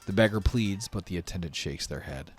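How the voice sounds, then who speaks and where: A man's voice: sits low, lively intonation, a little fast. A man narrating a tabletop fantasy game as the game master. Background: background music.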